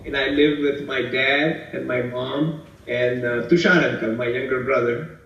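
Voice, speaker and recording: A man's voice: medium pitch, fairly even intonation, a little slow, vocal fry, a bit bright, slight background noise. A man with an Indian accent reminisces about his childhood. He sounds far off in a very echoey room, with a dull, muffled recording.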